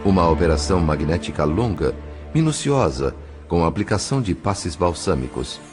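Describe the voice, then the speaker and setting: A man reading scripted narration for an audio novel in a formal, literary, measured manner. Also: low-pitched, sing-song, a little mellow. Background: faint music.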